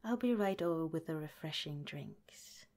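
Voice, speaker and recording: A woman voicing a sly but gentle scientist who runs an underground lab, in a soft, half-whispered ASMR roleplay. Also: sits low, lively intonation, normal speed. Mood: hospitable. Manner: sweetly hospitable, with a scheming edge.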